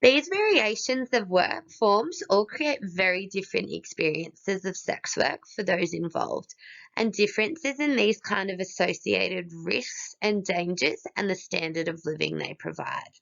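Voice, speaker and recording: Hoarse, gravelly woman with a cold. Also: medium pitch, measured pace. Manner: steady, listing one item after another. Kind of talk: read-aloud presentation. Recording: dull lo-fi recording.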